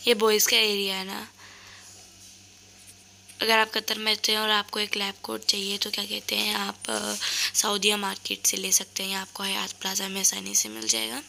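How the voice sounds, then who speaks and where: A woman with a sore throat narrates a shopping vlog over the footage. Her voice sounds gravelly and a little rough, yet bright and sharp, set slightly high with a flat, even pitch. A faint hiss sits behind her. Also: normal speed. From Pakistan. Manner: cheerful, chatty, points things out to viewers. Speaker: vlogger. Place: voice-over recorded later, indoors.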